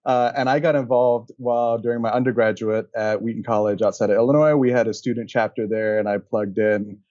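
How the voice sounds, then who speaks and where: A man in his thirties from Singapore, answering interview questions in a calm, measured way. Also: medium pitch, no room sound, lo-fi sound. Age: 30s. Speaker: guest, conservation director.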